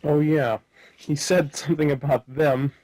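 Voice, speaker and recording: An actor playing a flippant, absent-minded hard-boiled detective in a radio play, with a deadpan, wisecracking delivery. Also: male voice, fairly high pitch, measured pace, rough recording. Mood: flippant, vague. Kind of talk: noir radio play narration and dialogue.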